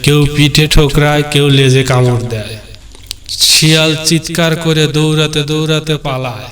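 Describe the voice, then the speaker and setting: A man's voice: a little high, fairly even intonation, normal speed, fairly bright tone, soft background music. A man narrating a scripted cartoon moral story as its storyteller.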